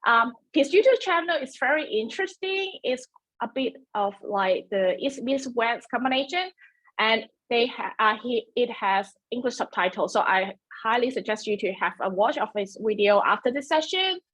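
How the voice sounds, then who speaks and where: A woman with a Cantonese first language hosts an online webinar, her voice sitting a little high. She talks in a chatty, self-deprecating way, full of fillers and restarts, into a computer mic. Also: animated, normal speed, a bit bright, rough recording. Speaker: webinar host. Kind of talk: presenting a webinar.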